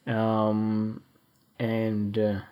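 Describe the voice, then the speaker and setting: Young freelance photographer, vlogging to camera, rambles and restarts, mid-pitched voice. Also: completely flat delivery, very slow, dark tone, smooth. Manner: rambling, chatty, many fillers and restarts. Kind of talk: casual vlog talk to camera.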